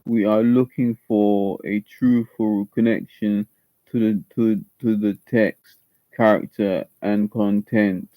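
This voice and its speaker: Slow, halting man with a Jamaican tinge. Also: on the low side, fairly even intonation, no room sound, narrow, tinny audio. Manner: halting, repeats and restarts words often. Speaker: livestreamer preparing an acting audition. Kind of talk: casual live stream, reading instructions aloud. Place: at home, seated.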